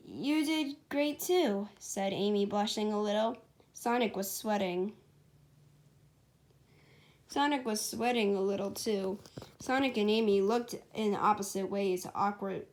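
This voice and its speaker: A woman's voice: mid-range voice, steady tone, measured pace. A woman reading her own story aloud as an amateur storybook narrator, stumbling and correcting herself.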